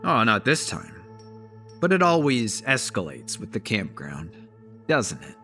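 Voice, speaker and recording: Bitter, forceful grieving father. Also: lowish voice, wildly swooping pitch, faint music behind, full character voice. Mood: sarcastic, accusing. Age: middle-aged. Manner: accusatory, rhetorical, drawn-out emphasis. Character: bitter grieving father rousing a town against his enemy. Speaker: actor in a horror audio drama. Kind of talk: dramatic public speech in audio drama.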